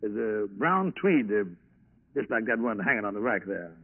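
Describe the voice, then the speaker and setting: An actor playing a halting, matter-of-fact clothing store clerk in a 1940s radio crime drama. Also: male voice, fairly low pitch, sing-song. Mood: matter-of-fact, recalling. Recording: tinny, band-limited 1940s radio recording.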